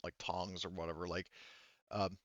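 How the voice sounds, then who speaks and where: A man casually improvising narration and dialogue as a tabletop roleplaying player, with measured, hesitant phrasing. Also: low voice, little pitch movement, a bit bright, no echo, muffled recording.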